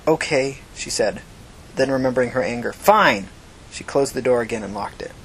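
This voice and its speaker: A man's voice: medium pitch, fairly even intonation, fast, a little mellow, a little hiss or hum, rough recording. A man narrating a scripted story in the manner of an audiobook, reading out prose and dialogue. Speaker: fiction podcast narrator.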